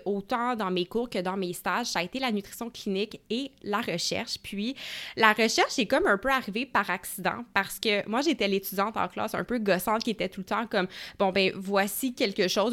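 A young woman with a Québécois French accent speaks as an interview guest. She talks eagerly and at mid pitch, with many fillers and restarts. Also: normal speed, fairly bright tone. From Gatineau, Quebec, Canada. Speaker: guest, nutrition researcher. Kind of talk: casual interview talk.

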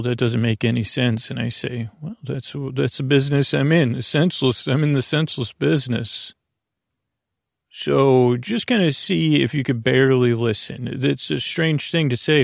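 An American man hosting a sleep podcast in a creaky voice, rambling soothingly through tangents and stumbling over his words. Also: medium pitch, dry recording, studio quality. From Long Island, US. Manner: meandering, tangential, stumbling and mashing words together; lulling, soothing delivery. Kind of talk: rambling bedtime monologue.

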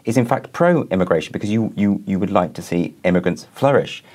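A man's voice: sits low, lively intonation, brisk, darkish tone. A man hosting a podcast interview, speaking in a polite, articulate, conversational way.

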